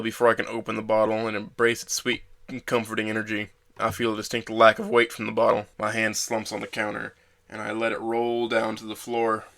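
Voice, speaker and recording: An actor playing a bitter, self-loathing young trauma survivor, narrating an audio drama in the first person with a brooding manner. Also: male voice, lowish voice, bright, forceful tone.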